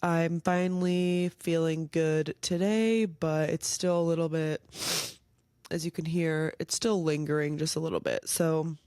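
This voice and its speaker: Young woman, podcast host, rambling casual chat, slightly nasal and raspy from a cold, dry close sound. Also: deep voice, fairly even intonation, unhurried, warm tone, no room sound. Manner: chatty, rambling, lots of "like".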